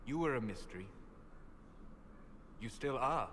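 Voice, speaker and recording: An actor playing a courteous, measured, scholarly male elven mage in video game dialogue. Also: mid-range voice, expressive, fairly bright tone. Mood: reflective. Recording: clear ambient background noise.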